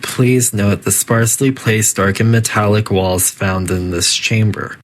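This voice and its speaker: A performer voicing a polite, coolly menacing computer announcer in a video game, speaking in a formal, measured, detached way. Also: sits low, fairly even intonation. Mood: calm, instructive. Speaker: facility announcer guiding test subjects.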